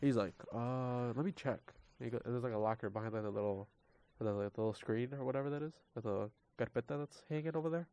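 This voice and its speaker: A man's voice: mid-range voice, fast, warm tone, smooth, highs cut off. A young man co-hosting a casual college radio chat, talking with a stuffy nose and rambling through lots of fillers. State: stuffy nose from a cold or allergies. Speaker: podcast co-host.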